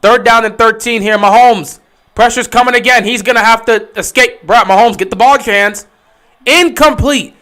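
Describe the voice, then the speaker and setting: A man giving casual live play-by-play commentary on a stream, getting excited and loud on big plays. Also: extremely high pitch, moderate pace. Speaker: livestream game commentator.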